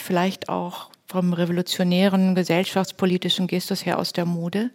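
A woman's voice: medium pitch, a little mellow. A woman hosting a moderated talk on stage, speaking in an articulate, structured presenter's manner. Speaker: host, moderator. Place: hall with a live audience.

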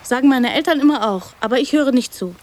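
A woman's voice: high voice, animated, quick pace, darkish tone. An actress playing an odd young girl in a radio drama, blunt yet tender, in simple childlike sentences.

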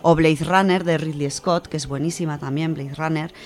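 A woman from co-hosting a radio show, chatting casually and reading a story, animated, with many fillers. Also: low voice, normal speed. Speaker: radio co-host presenting a literature section.

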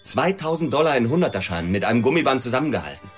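An actor playing an agitated, suspicious husband in radio drama dialogue. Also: male voice, medium pitch, sing-song, racing, faint music behind, close to an everyday voice. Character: agitated, suspicious husband questioning his wife.

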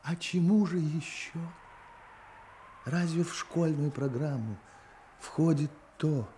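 An actor playing a bitter, dreamy village schoolteacher, musing and declaiming in 1960s radio drama style. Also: male voice, on the high side, takes it slowly, warm tone, a little hiss or hum. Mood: bitter, exasperated. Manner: declamatory, musing, repeats phrases to himself.

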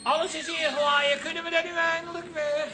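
An actor playing a cheeky, impatient grown son in a Dutch radio comedy. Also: male voice, very high voice, little pitch movement, a little fast. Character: impatient, teasing grown son drinking at the bar.

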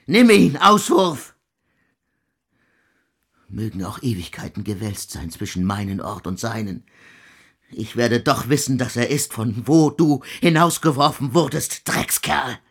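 A husky, tormented composer in anguish. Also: male voice, mid-range voice, full character voice. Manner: archaic, bitter, declamatory speech with curses. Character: anguished, embittered male composer, cursing and self-accusing in grief. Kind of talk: literary radio drama acting.

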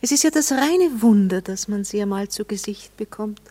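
An actress playing a proper married lady in a German radio play, guarded, arch and coolly mocking, quick to reprove. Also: medium pitch, expressive, mellow, lightly acted. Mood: arch, reproachful.

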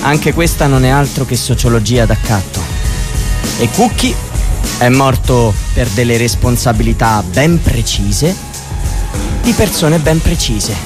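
A man's voice: mid-range voice, animated, measured pace, lightly acted. An actor playing a young man who speaks after his death, giving an impassioned, declamatory theatrical monologue. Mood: bitter, accusing. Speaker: actor in a public drama. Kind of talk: theatrical monologue over music. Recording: music behind the voice.

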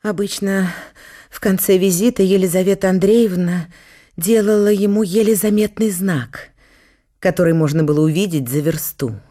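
A woman's voice: medium pitch, steady tone, moderate pace, mellow. A woman narrating a historical radio play in scripted literary prose.

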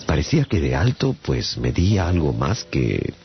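A man narrating a radio drama as a traveller recounting his adventure, in a theatrical, deliberate storytelling manner. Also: extremely low pitch, sing-song, exaggerated voice. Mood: measured suspense. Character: adult male traveller recounting his strange captivity. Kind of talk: dramatised first-person audiobook narration. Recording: dull radio audio over clear music.

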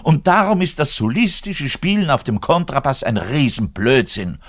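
An actor playing a frustrated, self-pitying orchestra double bassist in a radio monologue, with a southern German accent and a colloquial, ranting manner. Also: male voice, sing-song, normal speed, close to an everyday voice. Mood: indignant, scornful. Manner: ranting, colloquial, swinging from outbursts to muttered resignation. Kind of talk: German radio play monologue, addressed to the listener.

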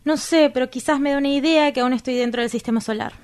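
A woman's voice: high-pitched, fast talker, darkish tone, natural voice. A woman with an Argentine accent playing a prim, curious, sardonic woman in a radio drama, questioning and easily disgusted. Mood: wary curiosity. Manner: questioning, disdainful, dry.

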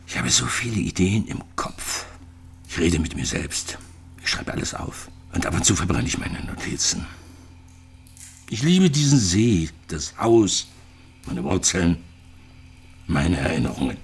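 A man's voice: low voice, gravelly, breathy edge, heavily acted. An actor in a radio drama playing a bitter, lonely retired East German physicist in his sixties, reflecting in clipped phrases. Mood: resigned, wistful. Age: 60s.

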